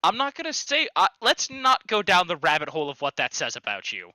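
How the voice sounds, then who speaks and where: A man's voice: sits high, huge pitch swings, a little fast, bright, forceful tone, no echo. A man co-hosting a fanfiction-reading stream, teasing and exasperated in casual banter.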